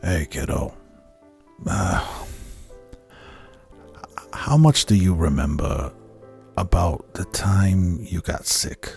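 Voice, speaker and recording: Male actor as gentle dad type, gravelly, deep, slow, hesitant, radio drama, soft music. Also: exaggerated voice. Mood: tender, hesitant. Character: gentle, hesitant fatherly man talking to a child.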